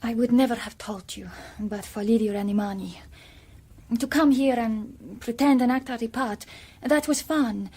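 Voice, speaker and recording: A passionate, defiant young female impostor in a radio drama. The voice sits fairly high with a husky edge, and the phrases are earnest and deliberate. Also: warm tone. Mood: candid, rueful. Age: young adult.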